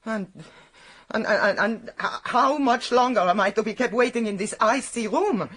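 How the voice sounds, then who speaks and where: An actress playing a proud, secretive middle-aged French housekeeper in a radio detective drama, haughty, impatient and emotional. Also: female voice, a little high, moderate pace, fairly bright tone. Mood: scornful, impatient.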